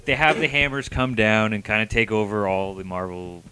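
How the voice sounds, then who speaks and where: Lower-pitched man in casual review chat, background noise. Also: normal speed, bright, forceful tone. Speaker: co-host of a comic book review show.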